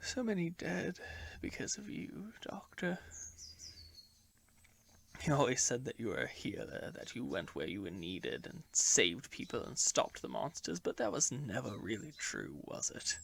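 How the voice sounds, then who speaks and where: A man playing a dying, guilt-ridden time traveller in a fan audio drama, speaking in a brooding, theatrical, halting way. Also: mid-range voice, huge pitch swings, gravelly, a bit bright, a little hiss or hum, exaggerated voice. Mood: accusing, brooding. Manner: brooding, theatrical, halting delivery dropping into whispers. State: weak, in pain, dying.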